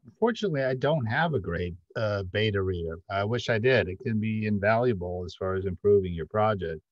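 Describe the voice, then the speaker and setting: A middle-aged man explaining things as a panelist on a video call, measured and halting as he searches for words. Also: fairly low pitch, expressive, no echo. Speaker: panelist, audio drama writer. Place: indoor room, video call. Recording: dull, lo-fi video-call audio.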